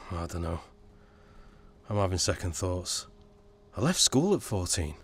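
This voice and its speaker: A man's voice: low voice, very animated, slow, breathy edge, no echo, heavily acted. A man acting in an audio drama as a passionate, plain-spoken working-class playwright in 1940s Britain, speaking expressively. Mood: doubtful.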